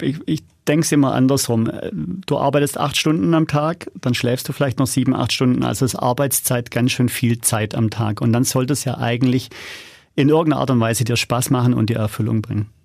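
A middle-aged man with a medium-pitched, gravelly voice, recorded dry and close. He talks casually and candidly as an interview guest, in colloquial, self-critical anecdotes. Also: fairly even intonation, brisk, darkish tone, no echo. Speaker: podcast guest, audio drama producer.

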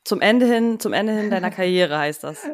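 A woman with a mid-pitched voice speaks as a podcast host. She is conversational and attentive, offering brief acknowledgements and gently phrased follow-ups to her guest. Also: lively intonation, moderate pace. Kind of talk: conversational interviewing.

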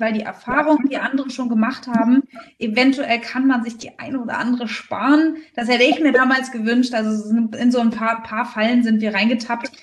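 Woman from berlin, bit high voice, emphatic interview talk, echoey and off the mic. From Berlin, Germany. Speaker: startup founder being interviewed. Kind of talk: conversational interview answers. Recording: echoey room, distant microphone.

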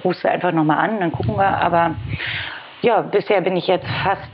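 A woman's voice: lowish voice, brisk. A woman in her forties from Berlin, chatting informally as a podcast guest and telling her own story in a self-deprecating way. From Berlin, Germany. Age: 40s. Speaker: podcast guest, communications professional. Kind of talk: conversational autobiographical storytelling in an interview. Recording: dull lo-fi audio with faint noise.

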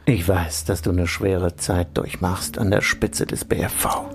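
An actor playing a senior political fixer in a radio play, reassuring and confidential, with a calculating streak. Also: male voice, sits low, steady tone, a little slow. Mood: soothing, conspiratorial. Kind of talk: radio drama acting. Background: music.

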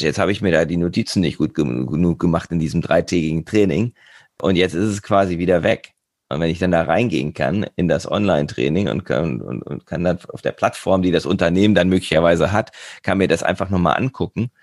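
A man's voice: sits low, fairly quick, no echo, studio quality. A man hosting an interview, attentive and affirming, asking long thoughtful questions. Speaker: podcast host, interviewer. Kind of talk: casual conversational interview.

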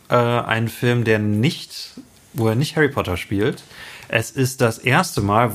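Ein Podcast-Mann mit deutschem Akzent berichtet im Plauderton und mit vielen Pausen. Seine Stimmlage ist durchschnittlich. Er ordnet Fakten zu einem Film ein. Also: male voice, mid-range voice, a bit bright. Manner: explains with many fillers, pauses and restarts. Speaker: podcast co-host. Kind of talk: casual film podcast chat.

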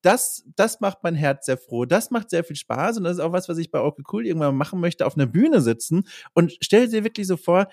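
A man with a somewhat high voice hosting a podcast, rambling thoughtfully with frequent hesitations. The recording is dry and close, with no room sound. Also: very animated, a little fast, vocal fry, few pauses, darkish tone, no room sound. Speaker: podcast host. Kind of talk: casual interview talk.

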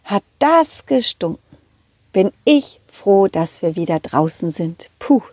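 A woman's voice: mid-range voice, very animated, slow, a little mellow, slightly rough, no room sound. A woman puppeteer telling a children's story in character, with childlike enthusiasm, speaking straight to the children. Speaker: puppeteer storyteller voicing a dog hero.

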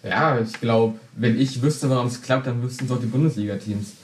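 A Berlin-born man joins a football podcast as a guest, giving brisk, factual analysis in short, clipped sentences. The recording carries a roomy echo around his voice. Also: mid-range voice, a little hiss or hum. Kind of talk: casual football podcast chat.

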